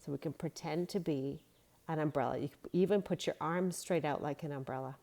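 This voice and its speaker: A woman's voice: sits low, fairly quick, vocal fry, dark tone. A woman narrating a read-along storybook and giving yoga instruction in simple phrasing for young children.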